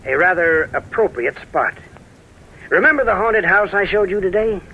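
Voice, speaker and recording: An actor playing a shrewd, scheming sheriff with a knowing, pointed manner in an old-time radio drama. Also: male voice, high voice, animated, normal speed. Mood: scheming, assured. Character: shrewd, scheming sheriff plotting against a stranger. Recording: dull vintage radio recording, faint noise.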